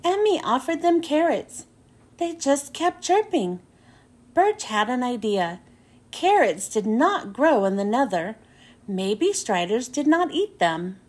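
A woman's voice: on the high side, big pitch swings, takes it slowly, a little mellow, slightly rough. A woman reads a children's storybook aloud, phrasing carefully and expressively for young listeners. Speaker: children's book reader and host.

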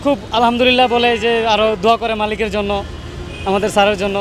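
A man's voice: sits high, steady tone, quick pace. A man working at a petrol station, explaining eagerly to a reporter outdoors. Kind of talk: street interview. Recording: traffic noise outdoors.

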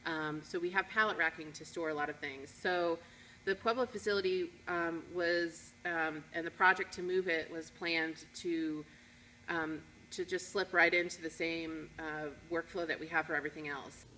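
Bright-voiced woman explaining in a warehouse. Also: sits low, fairly even intonation, normal speed, slight background noise, low-quality recording. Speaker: collections manager. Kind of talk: interview. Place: warehouse indoors.

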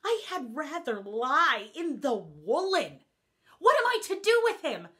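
A woman's voice: high-pitched, big pitch swings, unhurried, fairly bright tone, voice pushed up, heavily acted. Woman as a witty, marriage-scorning maiden. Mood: mocking. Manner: teasing, playful. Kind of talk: classical comic monologue.

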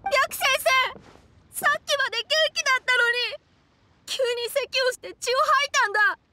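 A woman's voice: extremely high pitch, moderate pace, bright, forceful tone, no room sound, heavily acted. A woman voicing an anxious, devoted young child in an anime game, informal and pleading, over music. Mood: distressed. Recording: music behind the voice.

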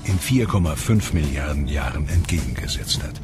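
Deep-voiced male documentary narrator. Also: animated. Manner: measured, explanatory. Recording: voice-over with music bed. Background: clear music.

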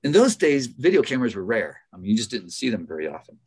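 A middle-aged American man speaks as an interview guest on a video call. His delivery is conversational and a little halting, like someone recalling old stories. He sounds often amused, with a medium-pitched voice. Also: huge pitch swings, darkish tone, slightly rough. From United States. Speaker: interview guest, biology professor. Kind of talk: casual anecdotal storytelling. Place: small office, indoors. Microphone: computer microphone on a video call.

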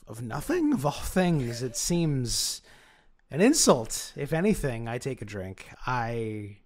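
A man playing a tabletop roleplaying game casually, haltingly speaking for his character with dry humor. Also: medium pitch, gravelly.

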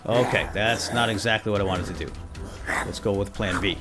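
A man hosting gameplay with excitable role-play commentary, playful and given to exclamations and quick bursts of repeated words. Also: fairly low pitch, over music. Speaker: gaming video host.

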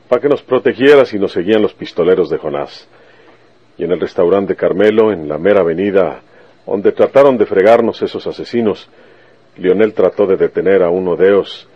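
Rustic Mexican lawman, low and rough-voiced, blunt and stubborn, for radio drama. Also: dull audio. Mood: sorrowful, earnest. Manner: folksy country speech full of rustic colloquialisms. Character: stubborn, vengeful rural Mexican detective, blunt and earnest. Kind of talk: Spanish-language radio serial acting.

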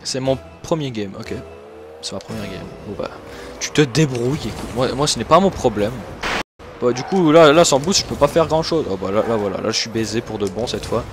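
Young man, excitable gaming commentary. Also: mid-range voice, fairly quick, music in the background. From Switzerland. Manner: excitable, laughs often, shouts at plays. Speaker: gaming YouTuber. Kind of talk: live gameplay commentary.

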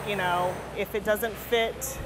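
A woman school superintendent speaking in an interview, in a relaxed, conversational way. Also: mid-range voice, takes it slowly. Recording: noticeable site background noise.

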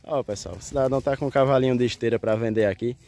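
A man's voice: medium pitch, very fast, warm tone. A man with a Northeastern Brazilian accent from Pernambuco, giving chatty, folksy commentary to viewers as he films outdoors on a phone. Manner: chatty, folksy, repeats phrases. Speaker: livestock fair vlogger. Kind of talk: casual running commentary to viewers with greetings. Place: outdoors at a livestock fair. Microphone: handheld phone behind the camera.

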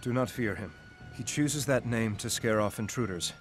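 An actor playing an earnest young rebel warrior leader in a video game, solemn, urgent and dramatic. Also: male voice, on the low side, normal speed, soft background music, lightly acted. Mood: grave, urgent. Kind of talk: video game cutscene acting.

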